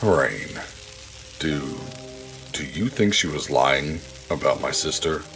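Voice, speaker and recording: A man's voice: extremely low pitch, expressive, slow pace, a bit bright, exaggerated voice. A man playing a troubled, grieving and determined male ally in a noir audio drama, speaking in a somber, earnest way. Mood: earnest, pleading. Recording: music behind the voice. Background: music.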